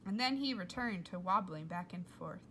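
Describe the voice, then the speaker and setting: A woman reading a story aloud in an expressive, animated and deliberate way. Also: lowish voice, slight background noise. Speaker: storybook reader. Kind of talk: expressive read-aloud narration.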